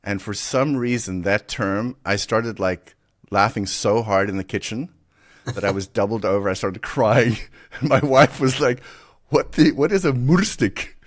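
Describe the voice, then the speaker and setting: Deep-voiced South African man with American twang. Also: unhurried. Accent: South African with American influence. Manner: animated, laughing, restarts sentences often. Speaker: podcast host. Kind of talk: casual interview chat.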